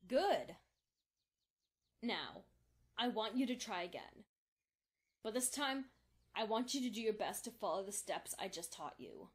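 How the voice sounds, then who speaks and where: A patient but exacting unicorn mentor, acted by a woman, with a mid-range female voice. She instructs formally and calmly, measuring out each point as in a cartoon fan drama. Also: mid-range voice, a little slow, pauses often, lightly acted. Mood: calm, approving. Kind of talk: fan fiction audio drama acting.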